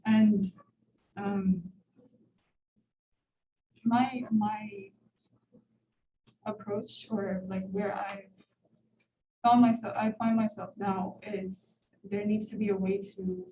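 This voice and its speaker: A woman's voice: mid-range voice, steady tone, takes it slowly, long pauses, mellow, slight background noise. A woman in her twenties from Ethiopia, a student asking a hesitant question from the floor at a university event. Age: 20s. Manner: hesitant, many fillers and restarts. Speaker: student audience member. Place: university lecture room. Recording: roomy hall, far from the mic.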